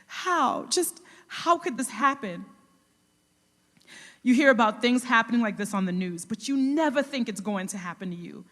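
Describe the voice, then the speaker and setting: Woman acting as a high-voiced, protective, emotional mother. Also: long reverb tail, natural voice. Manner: earnest, emotional. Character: loving, protective mother, grief-stricken over her son. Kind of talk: audio drama acting.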